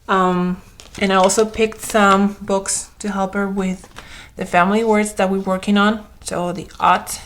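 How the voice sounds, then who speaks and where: A young woman talks through her week of homeschool lessons in a loose, chatty vlog manner, pausing on ums and restarting her sentences. Her voice is a touch hoarse, as if she is losing it, and sits at a middle pitch. Faint noise hums behind her. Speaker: homeschooling mom vlogger. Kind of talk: casual show-and-tell vlog.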